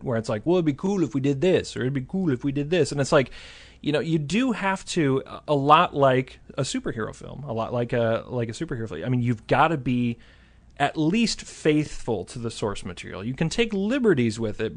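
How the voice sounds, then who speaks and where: A man discussing films casually on a podcast, thinking aloud in a halting way with repeated words. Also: medium pitch. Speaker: film podcast panelist.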